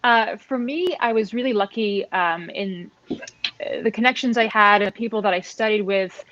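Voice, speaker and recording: A woman's voice: mid-range voice, normal speed, fairly bright tone. A Canadian woman in her thirties answering casually as an interview guest over a lo-fi online call. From Halifax, Nova Scotia, Canada. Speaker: guest, percussionist and arts administrator. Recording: dull, lo-fi online call audio.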